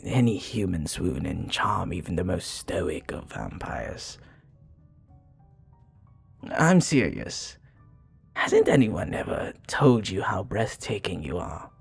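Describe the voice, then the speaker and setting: A man acts out a seductive vampire bartender in an intimate ASMR roleplay. His voice is low and breathy, and he flatters at a slow, teasing pace with small hesitations. Soft music plays behind him. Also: exaggerated voice. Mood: flirty, teasing. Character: flirty, charming male vampire bartender, smooth teasing flatterer.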